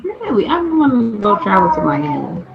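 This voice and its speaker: Woman podcast host, gravelly and lively, easygoing, noisy recording. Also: medium pitch, moderate pace, muffled recording, a crowd in the background. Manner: laid-back, laughs easily, says y'all. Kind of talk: casual group chat.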